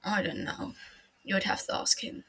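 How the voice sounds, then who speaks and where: A man's voice: normal speed, pushed and bright, lightly acted. A woman voicing a cool, aloof genius detective. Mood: detached.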